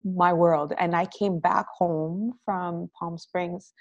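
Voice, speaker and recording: A woman's voice: on the low side, steady tone, slow pace, no room sound, muffled recording. A woman from Haiti who hosts a podcast, speaking in an earnest, effusive way as she introduces the show and tells her story. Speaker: podcast host. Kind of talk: podcast intro and interview answers.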